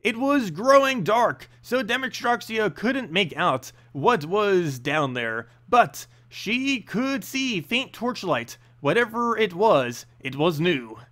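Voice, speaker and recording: A man's voice: sits high, big pitch swings, takes it slowly, few pauses, fairly bright tone. A man reading a fantasy story aloud as a narrator, with dramatic delivery and occasional hesitant stumbles. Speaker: reader of fantasy stories, channel host.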